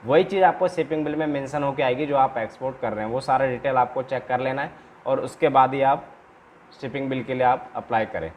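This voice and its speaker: A man's voice: mid-range voice, brisk, darkish tone. A man with an Indian accent giving an informal step-by-step tutorial as a trainer, repeating himself and often checking in with short tags. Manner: repetitive, with frequent check-in tags. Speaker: export-import trainer presenting a tutorial. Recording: noticeable background noise.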